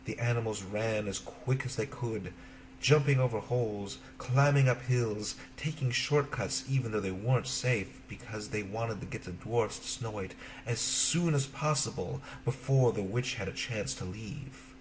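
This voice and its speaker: A man narrating a children's fairy tale as an expressive storyteller, in simple phrases. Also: lowish voice, measured pace, a little hiss or hum, poor audio.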